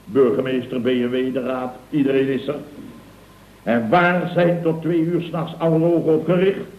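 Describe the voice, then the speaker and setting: A man's voice: medium pitch, steady tone, moderate pace, natural voice. Man acting a blustering, stammering boss, dull roomy recording. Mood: grumbling, indignant. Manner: stammering, repeating himself, grumbling. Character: flustered, blustering male building contractor boss, middle-aged. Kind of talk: comic radio drama acting. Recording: dull, roomy old radio recording with hiss.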